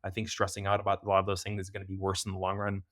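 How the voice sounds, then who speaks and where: A man's voice: deep voice, monotone, a bit bright, no echo, clean studio sound. A young American man chatting as a podcast guest, talking loosely with lots of fillers. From United States. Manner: fast, loose talk with many fillers. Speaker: podcast guest, preventative health expert. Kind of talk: casual interview chat.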